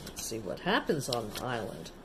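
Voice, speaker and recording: A woman's voice: rumbling low, wildly swooping pitch, normal speed, slight background noise. A woman storyteller reading a picture book aloud to children, adding simple explanatory asides in a casual, child-directed way.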